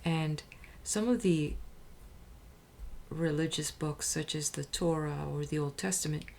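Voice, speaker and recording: A woman hosting her own channel, talking casually and thoughtfully, with hesitations, restarts and soft near-whispered phrases. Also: low-pitched, fairly even intonation, unhurried, vocal fry, a little hiss or hum. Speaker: audiobook channel host. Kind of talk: casual spoken introduction.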